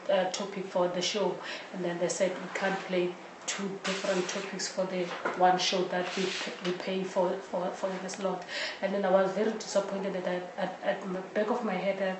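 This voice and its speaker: A woman giving a halting personal account in an interview, repeating phrases as she goes. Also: on the low side. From South Africa. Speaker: audio drama team member. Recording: echoey room, clear background noise.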